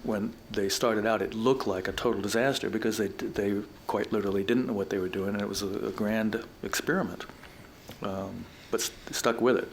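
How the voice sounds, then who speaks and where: Panelist, male, lower voice, measured delivery with pauses, faint background noise. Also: steady tone, vocal fry. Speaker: alumni panelist. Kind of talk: reflective panel discussion. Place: college event room.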